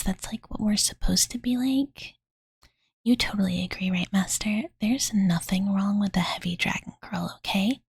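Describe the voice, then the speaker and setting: A woman voicing an affectionate young dragon girl in a soft, breathy ASMR whisper, close to the mic, with a casual, cutesy way of talking. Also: medium pitch, dry recording. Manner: cozy, cutesy, casual, filler-laden, doting. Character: affectionate, possessive young dragon girl telling bedtime story. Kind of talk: ASMR roleplay. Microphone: close studio condenser mic.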